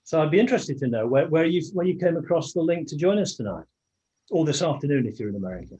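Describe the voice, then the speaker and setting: A man's voice: a little high, lively intonation, fast, dark tone. A man hosting an online meeting, chatting casually to greet everyone as they arrive, often restarting his sentences. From England. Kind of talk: casual welcoming chat. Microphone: video call. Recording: muffled video call audio.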